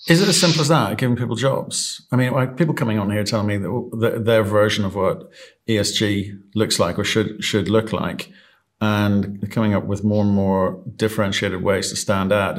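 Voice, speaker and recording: Low-voiced male podcast host. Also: steady tone, normal speed. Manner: chatty, probing questions. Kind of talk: investment interview.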